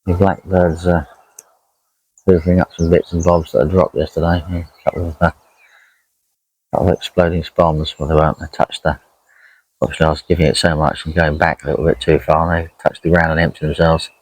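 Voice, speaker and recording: A man's voice: a bass voice, level tone, fairly quick, slightly rough, no room sound, rough recording. A man chatting casually and unhurriedly as he narrates an outdoor fishing vlog by a lakeside, rambling as he goes. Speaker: angler vlogging a fishing trip.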